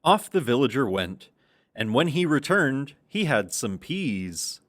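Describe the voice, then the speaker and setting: A man's voice: mid-range voice, very animated, unhurried, fairly bright tone. A man narrating a children's puppet show in a scripted storytelling style.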